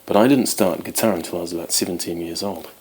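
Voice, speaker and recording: A man's voice: low voice, fast, a little mellow, a little hiss or hum, poor audio. A man in his twenties, born in Wales, chatting casually to camera as host of his guitar channel, rambling with many ums. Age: 20s.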